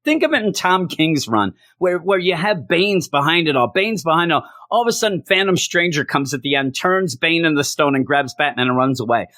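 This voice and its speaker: A hoarse man reviewing a comic book, ranting in exasperation and repeating his complaints for emphasis. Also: fairly high pitch, lively intonation, fairly quick, hardly any pauses, pushed and bright, no echo, studio quality.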